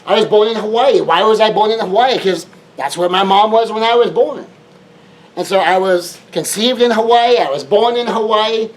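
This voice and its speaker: A man's voice: high voice, normal speed, poor audio. A man from Southern California, a life coach, giving a motivational talk with repetitive, emphatic phrasing and shifting tempo.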